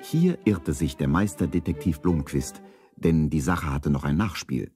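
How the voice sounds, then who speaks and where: A man's voice: deep voice, dark tone, husky, no echo, studio quality, heavily acted. A man playing the wry narrator of a children's detective radio play, reading in a storybook style.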